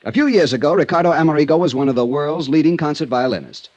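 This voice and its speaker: An actor playing a fussy, solemn middle-aged insurance executive in a 1950s radio drama, earnest and prone to rambling. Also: male voice, on the high side, lively intonation, fast talker, a little mellow, muffled recording.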